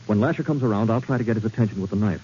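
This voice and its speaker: An actor playing a brave, level-headed young intern, earnest and measured, in 1940s radio drama style. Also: male voice, lowish voice, warm tone, close to an everyday voice. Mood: calm, resolute. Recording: dull, hissy 1940s radio recording.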